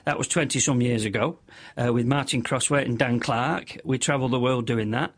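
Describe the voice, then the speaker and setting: Man, squeaky voice, middle-aged, chatty radio interview guest, lots of fillers and restarts. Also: fairly even intonation, brisk. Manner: rambling, self-deprecating storyteller with many restarts and fillers. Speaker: radio interview guest, motorcycle stunt show compere.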